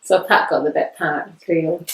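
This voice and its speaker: A woman's voice: low-pitched, fairly even intonation, normal speed, echoey room, rough recording. A woman, introducing herself and her partner as house sitters in a light, chatty way. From England. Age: 50s. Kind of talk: casual self-introduction video.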